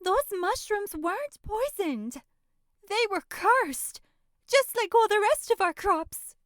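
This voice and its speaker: A woman's voice: very high-pitched, sing-song, slow, fairly bright tone, no room sound, studio quality, voice pushed up, full character voice. A woman voicing a gullible, superstitious village woman in a thin put-on voice for a horror roleplay audio drama. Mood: insistent.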